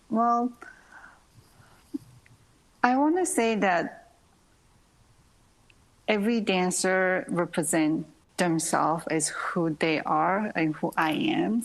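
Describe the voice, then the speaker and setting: A Japanese-born woman ballet dancer in her thirties, speaking English as a second language in an interview, soft-spoken with frequent pauses and light laughter. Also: on the low side, vocal fry, rough recording. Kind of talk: interview, answering questions.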